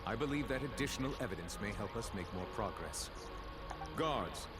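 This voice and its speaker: Man playing a grave, measured judge over loud music. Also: fairly low pitch, expressive, close to an everyday voice. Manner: measured, formal, authoritative. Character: grave, formal, impartial male chief judge. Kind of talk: video game voice acting. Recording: loud game music behind.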